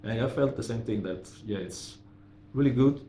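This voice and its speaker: A man in his thirties from Italy, hosting a podcast interview in a casual, halting way with many restarts. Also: medium pitch, mellow. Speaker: podcast host. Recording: echoey room with faint noise.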